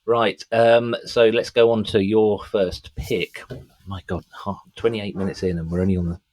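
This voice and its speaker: A man's voice: lowish voice, steady tone, measured pace, darkish tone. A middle-aged man co-hosting a music podcast, chatting casually with an enthusiastic, sweary fan's manner. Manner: enthusiastic, sweary fan talk.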